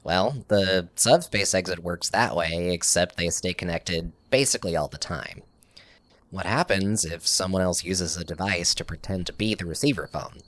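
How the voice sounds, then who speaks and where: A man's voice: low-pitched, moderate pace, fairly bright tone, dry recording. A man playing an eager, nerdy tech tinkerer in an audio comedy, explaining things in a casual, slightly awkward way. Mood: earnest explaining. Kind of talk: audio sitcom acting.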